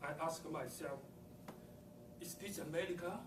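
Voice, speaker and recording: Man with a Chinese accent, hesitant first-time speaker, slow delivery, distant and noisy room recording. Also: a little high. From southern China. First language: Cantonese. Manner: hesitant, slow, with many ums and uhs. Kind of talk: prepared speech. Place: meeting room. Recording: echoey room, mic far off, background noise.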